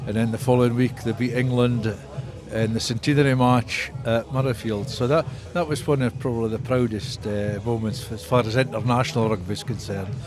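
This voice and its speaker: A man's voice: medium pitch, fairly even intonation, a little fast, music in the background. An older man with a Scottish Borders accent, speaking as a rugby club historian in a conversational interview, recounting history.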